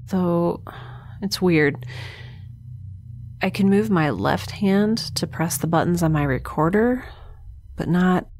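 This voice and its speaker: A woman's voice: on the low side, slow, pauses often, a little mellow. An actress playing a weary, sardonic young woman held captive in space in an audio drama, with a wry, rambling manner. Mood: groggy, puzzled. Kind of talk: audio drama monologue and dialogue.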